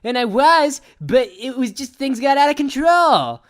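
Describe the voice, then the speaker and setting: A woman's voice: high-pitched, sing-song, a little slow. A woman voicing a brash, easygoing young cartoon pegasus pony who tells a story in a casual, rambling slacker way. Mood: excited, alarmed. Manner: casual slacker rambling, full of "like" and "you know". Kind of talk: improvised-sounding comic cartoon storytelling.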